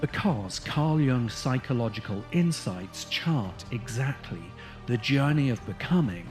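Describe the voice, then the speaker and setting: A man delivering scripted documentary narration in a measured, deliberate way. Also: medium pitch, lively intonation. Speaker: narrator, video essayist. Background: music bed.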